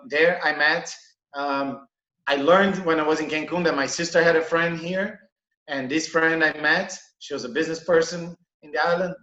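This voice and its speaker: A man from Brazil, a hotel manager, chatting in a relaxed, halting way with many fillers on a video call. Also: on the high side. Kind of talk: casual interview. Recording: echoey room, dull lo-fi sound.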